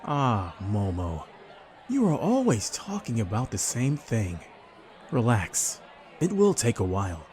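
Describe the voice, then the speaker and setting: A man's voice: mid-range voice, wildly swooping pitch, slow pace, a little mellow, some chatter behind, slight background noise. A man playing a laid-back, casually patronising captain, breezy and dismissive, in an anime fan audio drama.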